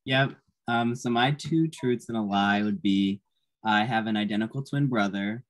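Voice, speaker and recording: Man, somewhat low voice, casual, video-call introduction. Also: moderate pace.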